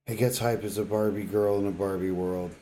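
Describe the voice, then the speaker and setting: A man's voice: fairly low pitch, fairly even intonation, brisk, mellow, rough recording. A man co-hosting a podcast, trading casual banter in wry, informal interjections. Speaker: podcast co-host.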